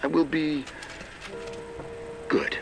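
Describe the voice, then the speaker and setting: A man playing a weary, brooding man in a game cutscene, speaking poetically and deliberately over music. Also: a little high, flat delivery, vocal fry, exaggerated voice. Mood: weary, resigned. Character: weary, tormented man, grieving philosophically in a farewell monologue. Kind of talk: dramatic game cutscene monologue. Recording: music behind the voice.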